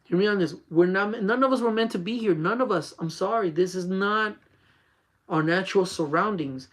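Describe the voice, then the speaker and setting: A man's voice: sits high, moderate pace. Earnest man in his forties, urging. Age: 40s. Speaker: channel host. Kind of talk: casual faith talk to live chat.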